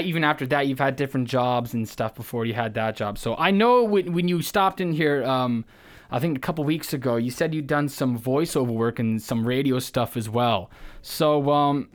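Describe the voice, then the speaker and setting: A man with a medium-pitched voice acts as interviewer. He talks informally and a little hesitantly, rephrasing his questions in the style of a casual chat. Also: a bit bright.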